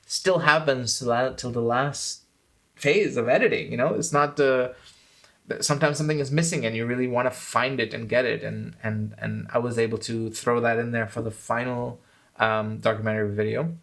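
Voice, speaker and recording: A man's voice: medium pitch, fairly even intonation, moderate pace, creaky, a bit bright. Reflective man talking through his film. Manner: thoughtful, self-critical, grows enthusiastic. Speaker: filmmaker and channel host.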